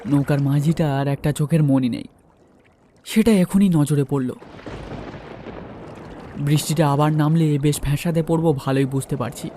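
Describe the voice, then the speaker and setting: A man's voice: on the high side, warm tone, slight background noise. A man narrating a first-person horror story and acting out its dialogue.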